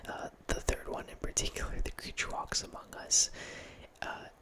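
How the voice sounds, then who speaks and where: A man in his thirties hosting an ASMR channel, whispering a rambling film chat into a close microphone in a small home room. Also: moderate pace. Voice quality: soft unvoiced whisper. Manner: rambling, with restarts and quiet laughs. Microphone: close studio mic with headphones.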